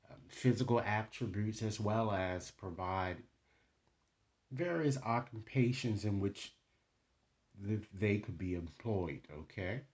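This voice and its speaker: A man hosting a card reading, speaking to viewers in deliberate, formal phrasing and often checking in with a short tag question. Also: fairly low pitch, a little mellow, dull audio. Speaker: tarot card reader and channel host.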